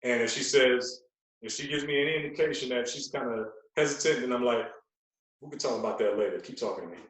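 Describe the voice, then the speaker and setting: A man's voice: medium pitch, quick pace. Heavy room echo surrounds a man with a mid-range voice. He chats casually as a podcast guest, repeating phrases for emphasis. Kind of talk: casual chat. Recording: very echoey, roomy sound.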